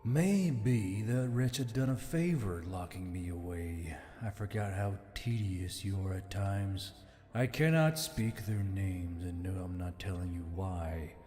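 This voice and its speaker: A man's voice: sits low, takes it slowly, warm tone. A man playing an ancient, aloof spirit bound in a torque, speaking in formal, archaic storytelling phrases for an audiobook. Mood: exasperated. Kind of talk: scripted audiobook character acting. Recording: long reverb, music behind.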